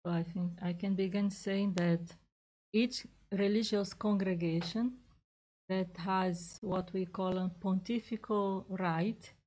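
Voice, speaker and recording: A woman from Brazil, a visiting nun, answering interview questions in careful, halting English. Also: medium pitch, steady tone, a little mellow, low-quality recording.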